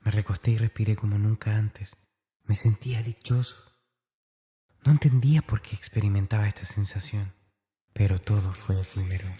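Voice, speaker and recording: A man playing a tormented, brooding young man in a confessional horror audio drama monologue, intense and breathy. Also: fairly low pitch, flat delivery, moderate pace, mellow, natural voice. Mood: haunted, dazed. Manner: intense, breathy, often dropping toward a whisper.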